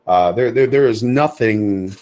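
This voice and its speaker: A man with a fairly low voice speaking in a casual podcast chat. He gives blunt, dismissive verdicts with a few hesitations along the way. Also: measured pace, darkish tone. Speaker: podcast co-host.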